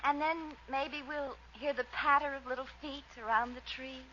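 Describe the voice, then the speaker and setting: A woman's voice: high-pitched, steady tone, moderate pace, bright, forceful tone. An actress playing a sweet, spirited young girlfriend, coy and earnest, in a 1940s radio comedy style. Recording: muffled, faintly hissy old radio recording.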